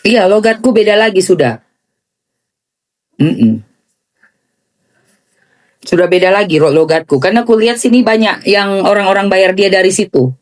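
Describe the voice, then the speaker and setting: A woman's voice: medium pitch, moderate pace, pauses often. A woman with an eastern Indonesian accent giving casual, sarcastic gossip commentary, repeating phrases for mockery.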